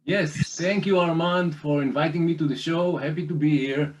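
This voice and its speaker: A man from Israel with an Israeli accent, a guest walking listeners through a lending program on slides, speaking haltingly with many fillers and restarts. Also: a little high, fairly even intonation, far from the mic, a little hiss or hum. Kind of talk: slide presentation over a call.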